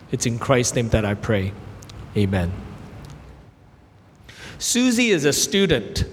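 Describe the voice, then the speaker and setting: A young adult man raised in Canada, giving a sermon as guest preacher, earnest and gentle, telling stories with brief pauses. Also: mid-range voice, in a large hall. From Hong Kong, raised in Canada. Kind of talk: sermon with prayer and illustrations.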